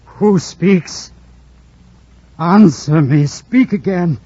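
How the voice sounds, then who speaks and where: An actor playing an anguished, passionate blind master in a 1940s radio drama, with halting, fervent delivery. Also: male voice, high-pitched, mellow, dry recording, heavily acted. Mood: agitated, suspicious. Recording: dull vintage radio recording.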